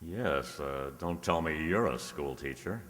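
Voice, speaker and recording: Radio private eye, male actor: very low voice, dry and streetwise, long hall reverb. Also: lively intonation, a little slow, a bit bright, exaggerated voice. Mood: wry, businesslike. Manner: wry, hardboiled patter. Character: wisecracking male private detective, dry, streetwise, soft-hearted. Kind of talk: old-time radio detective drama acting and narration.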